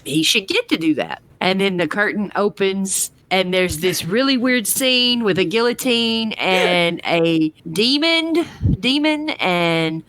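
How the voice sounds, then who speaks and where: A woman's voice: mid-range voice, expressive, slow pace, a bit bright, no echo. A woman co-hosting a podcast, casually recapping and chatting about a show. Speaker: podcast co-host. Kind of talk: casual chat, retelling episode.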